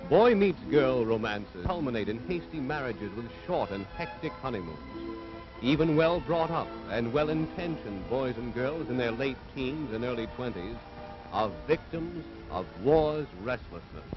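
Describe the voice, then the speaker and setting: A man's voice: mid-range voice, expressive, a little slow, over music. An American man delivering scripted 1940s propaganda film narration in an earnest, authoritative, moralizing manner. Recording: dull vintage 1940s film soundtrack.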